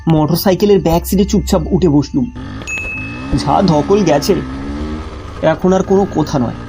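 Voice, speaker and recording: A man's voice: a little high, a little slow, gravelly, over music. A man narrating a first-person ghost story in a storytelling style.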